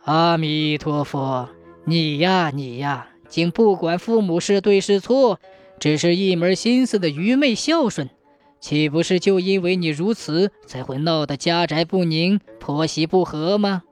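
Woman playing a chuckling male monk, pitched low. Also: a little mellow, faint music behind, natural voice. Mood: teasing, admonishing. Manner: chuckling, drawn-out, mocking delivery. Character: laughing, teasing old wandering male monk.

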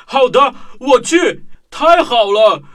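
Man playing a slow, moody big grizzly bear. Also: very high-pitched, sing-song, a bit bright, soft background music, full character voice. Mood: happy, eager. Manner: slow, grumbling, sighing. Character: gloomy, sulky, lonely big grizzly bear. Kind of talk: children's radio play acting.

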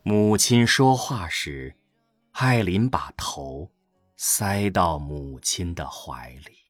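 A man's voice: low-pitched, lively intonation, takes it slowly, dry recording, clean studio sound. A male narrator reads a scripted audio drama over faint music. He takes his time, and his mid-range voice moves expressively up and down.

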